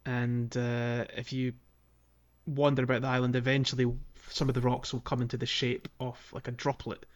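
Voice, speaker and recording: Guy on a gaming podcast, casual and a bit halting, average pitch, muffled audio. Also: completely flat delivery. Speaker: podcast co-host. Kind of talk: casual video game playthrough chat.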